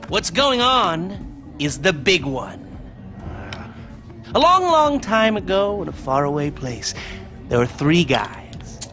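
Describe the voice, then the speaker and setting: A man's voice: sits high, very animated, takes it slowly, long pauses, pushed and bright, faint music behind, exaggerated voice. A man playing a nerdy American criminal mastermind in a video game, wry and theatrical, with mock-formal speeches broken by hesitations. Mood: storytelling. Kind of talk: video game cutscene acting.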